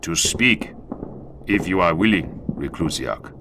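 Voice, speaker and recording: Deep, solemn giant warrior. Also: male voice, lively intonation, background noise, exaggerated voice. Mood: solemn respect. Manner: deliberate, ceremonious. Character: deep-voiced, solemn, respectful male superhuman warrior. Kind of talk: dramatized audiobook. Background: music.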